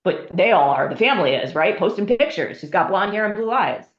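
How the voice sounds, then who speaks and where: A woman's voice: mid-range voice, lively intonation, fairly quick. A woman taking part in a group discussion, casually offering feedback and thinking aloud. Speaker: participant giving script feedback. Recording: echoey, dull call audio.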